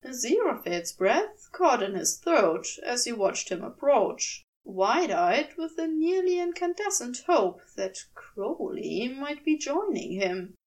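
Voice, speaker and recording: A woman reading fiction aloud as an audiobook narrator, in an expressive scripted storytelling style. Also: a little high, slow, hardly any pauses, recorded from a distance, poor audio.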